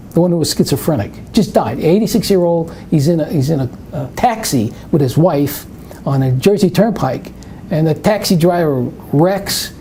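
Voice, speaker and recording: Rambling New York man, mellow voice. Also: on the high side, a little hiss or hum. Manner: rambling, anecdotal, self-interrupting. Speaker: math presenter. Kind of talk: live webinar Q&A.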